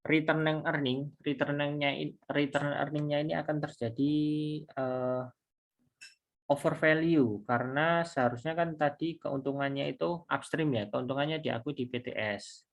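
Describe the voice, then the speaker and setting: A man giving an informal step-by-step tutorial as a lecturer, halting and repeating himself in a conversational way. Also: on the high side, steady tone, creaky. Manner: halting, repetitive, conversational, with self-posed questions. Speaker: accounting lecturer.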